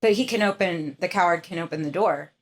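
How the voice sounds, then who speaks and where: Female game player, lowish pitch, casual chat, conversational, making suggestions. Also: a little fast.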